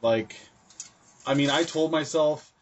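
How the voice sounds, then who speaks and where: A Canadian man co-hosting a podcast, talking in a relaxed, conversational way about games. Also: fairly high pitch, slow pace. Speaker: podcast co-host. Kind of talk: casual gaming chat. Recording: dull lo-fi podcast audio.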